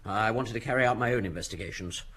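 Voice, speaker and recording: An actor playing an anxious, put-upon householder in an audio drama, flustered and protesting. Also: male voice, fairly low pitch, fast, a bit bright, a little hiss or hum, close to an everyday voice.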